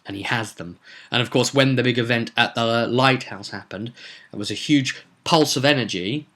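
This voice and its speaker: A man's voice: medium pitch, moderate pace, pushed and bright. A man giving an informal spoken recap as game master of a tabletop roleplay, explaining events with emphasis like a storyteller.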